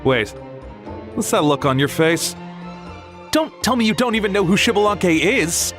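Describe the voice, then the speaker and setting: An actor playing an excitable, imaginative young man in a game, talking in an eager, speculative way. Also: sits high, moderate pace, faint music behind. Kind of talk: game voice acting.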